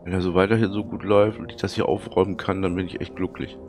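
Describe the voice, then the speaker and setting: A man with a cold and a hoarse, thick voice, casually commenting on his gameplay and muttering to himself as he plays. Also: low-pitched, flat delivery, quick pace, a little mellow, faint music behind. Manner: chatty, muttering to himself while playing. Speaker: let's play commentator.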